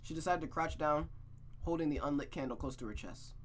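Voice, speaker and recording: A man's voice: fairly high pitch, brisk, a little hiss or hum. A man reading a visual novel aloud as a streamer, telling the story dramatically and breaking into casual, sweary asides. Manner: expressive, reactive, sweary, stumbles and rereads lines.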